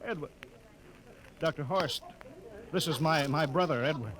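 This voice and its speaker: Stern middle-aged space captain played by a male actor, fairly high voice, clipped commands, vintage radio drama. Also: a little slow, a crowd in the background, natural voice, low-quality recording. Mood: shaken, moved. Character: stern spaceship captain, suspicious, rattled by emotion. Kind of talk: 1950s radio drama acting.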